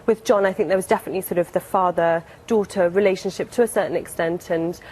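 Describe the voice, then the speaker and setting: A British woman in her twenties chatting casually as an interview guest, softening what she says as she goes. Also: lowish voice, fairly even intonation, quick pace, a little hiss or hum, poor audio. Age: 20s.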